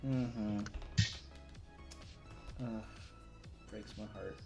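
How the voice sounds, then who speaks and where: A man's voice: lowish voice, steady tone, extremely slow, creaky. Casual male streamer, roomy echo, music. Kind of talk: casual chat while working.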